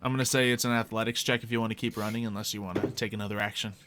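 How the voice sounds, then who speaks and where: A man's voice: lowish voice, fairly even intonation, rapid-fire, noisy background. A man acting as game master, narrating a tabletop roleplay game in a casual, improvised conversational way.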